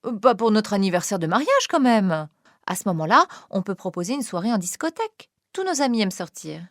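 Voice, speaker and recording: A woman's voice: mid-range voice, sing-song, a little fast, dry recording, studio quality. Woman acting as an eager, fun-loving wife. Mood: insistent. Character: wife eager to plan a fun anniversary party. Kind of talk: acted dialogue for a listening exercise.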